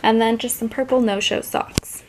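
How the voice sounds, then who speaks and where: High-voiced young woman vlogging casually. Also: steady tone, moderate pace, rough recording. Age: young adult. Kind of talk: casual get-ready-with-me vlog chat. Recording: faint background noise.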